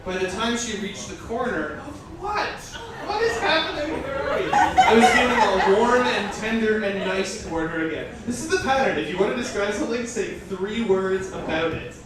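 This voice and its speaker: A man's voice: high voice, sing-song, moderate pace, hardly any pauses. A man performing a comic, theatrical live reading with mocking, incredulous asides, recorded indoors at an event. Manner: breaks off into incredulous, sweary asides. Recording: echoey, noisy live room, far from mic. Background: audience crowd noise.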